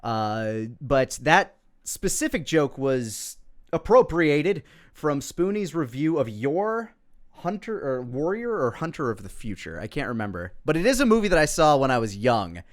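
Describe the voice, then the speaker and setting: A man's voice: fairly high pitch, big pitch swings, measured pace. A man giving casual, rambling, self-deprecating commentary on his own old videos.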